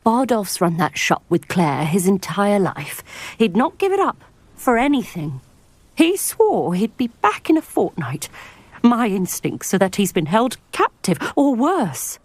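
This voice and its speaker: Animated, worried older British village witch. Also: medium pitch, a little slow, no room sound. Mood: worried, confiding. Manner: earnest, gossipy. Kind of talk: video game voice acting.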